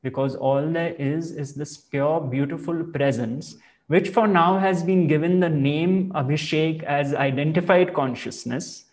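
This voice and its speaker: A man speaking as a spiritual teacher, explaining ideas in slow, measured and reflective phrasing. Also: a little high, a little mellow. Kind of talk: calm explanatory spiritual talk.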